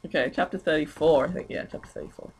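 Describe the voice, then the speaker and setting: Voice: medium pitch, quick pace, darkish tone, breathy edge. Someone doing a jokey, sweary dramatic reading of fan fiction, giggly and stumbling over odd words.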